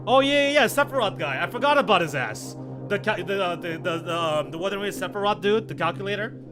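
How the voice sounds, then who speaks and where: Stammering, slangy male streamer, fairly high voice. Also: expressive, fast talker, over music. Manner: stammering, repeating himself, slangy. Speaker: game streamer. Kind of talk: casual livestream commentary, reading game dialogue aloud.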